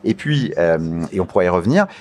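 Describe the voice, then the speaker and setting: A man giving a formal interview answer as a guest, speaking in a measured, deliberate way with frequent pauses. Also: low-pitched, very animated, darkish tone. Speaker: interview guest, media regulator official.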